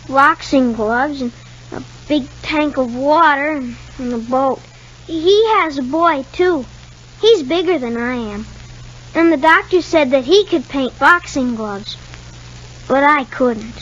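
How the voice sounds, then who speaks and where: A woman's voice: high-pitched, slow pace, fairly bright tone, a little hiss or hum. A woman playing a shy, polite young boy with hesitant answers in a 1950s radio soap. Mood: guarded, innocent. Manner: reticent, short hesitant answers. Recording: dull 1950s radio recording.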